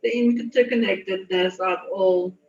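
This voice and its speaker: A woman leading a guided meditation in a soothing, unhurried manner with long pauses. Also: mid-range voice, steady tone, heavy background noise. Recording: muffled, echoey, distant, music behind. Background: meditation music.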